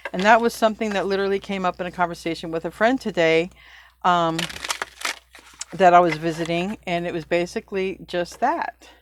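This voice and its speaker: A woman's voice: fairly low pitch, no room sound. A middle-aged woman gives a casual spiritual tarot reading. She talks loosely, corrects herself mid-sentence, and chats as if to a friend. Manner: chatty, loose, self-correcting, laughs at own slips. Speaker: tarot reader.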